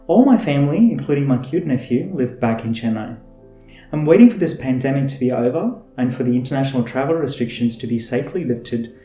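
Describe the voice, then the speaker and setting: Tamil-born guy in his 30s, podcast host, earnest and measured, warm low tone. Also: echoey room. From India. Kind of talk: scripted self-introduction.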